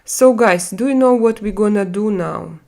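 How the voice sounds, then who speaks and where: Live-stream host, Russian woman with a Russian accent, English learner, halting delivery full of fillers, casual chat from home. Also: medium pitch, gravelly, a little mellow. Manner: halting, frequent "uh" fillers while searching for English words.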